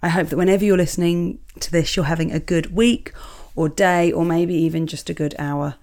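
Croaky-voiced woman with a cold. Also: fairly low pitch, steady tone, brisk, a little mellow. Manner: chatty, self-deprecating, rambling asides. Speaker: podcast host. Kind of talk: casual solo podcast chat, reading listener emails. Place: small home office.

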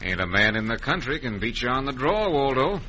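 An actor playing a big, hearty, shrewd crooked cattle boss in an old radio western, with an American western accent. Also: male voice, mid-range voice, sing-song, fairly quick, pushed and bright, a little hiss or hum. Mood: scheming. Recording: vintage radio recording.

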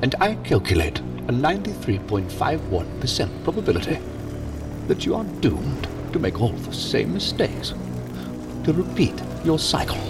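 An actor playing a coolly curious, ominous non-human entity in a science fiction audio drama, speaking in formal, grandiose musings. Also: male voice, medium pitch.

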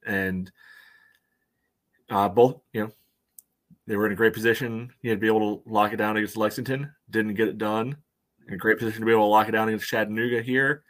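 A man's voice: fairly low pitch, fairly even intonation, fast, pauses often, a bit bright. A man co-hosting a sports fan podcast, chatting casually in a wry, frank, hesitant way. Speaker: co-host of a soccer fan podcast.

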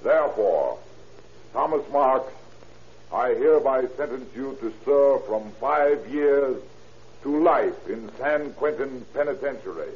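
An actor playing a grave, severe judge, solemn and declamatory in 1930s radio drama style. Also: male voice, mid-range voice, animated, slow, a little hiss or hum. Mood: severe, condemning. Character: stern, condemning male judge, grave and severe. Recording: muffled vintage radio recording.

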